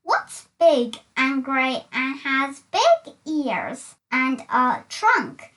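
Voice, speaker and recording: A woman's voice: high-pitched, sing-song, very slow, a bit bright, far from the mic, pitched below the performer's own voice, exaggerated voice. A woman voicing a rude, clever pig in a storybook, mocking and haughty. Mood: smug.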